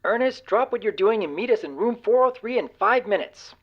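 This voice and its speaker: Woman acting as businesslike team leader, inquisitive, low-pitched, animated, audio drama, slightly dull lo-fi sound. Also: close to an everyday voice. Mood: brisk. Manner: probing, brisk, to the point.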